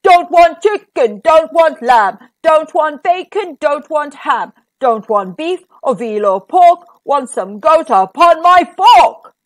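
A woman voicing a greedy, hungry troll who chants his demands in a loud sing-song. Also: high-pitched, very animated, unhurried, hardly any pauses.

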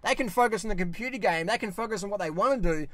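Aussie bloke in his car on a dash cam, swears heaps, talks with his hands, fairly high voice. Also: very fast, dry recording. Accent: Australian. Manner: blunt, swears a lot, animated hand gestures. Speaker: vlogger. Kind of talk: casual ranting vlog. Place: parked car. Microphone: camera on the dashboard.

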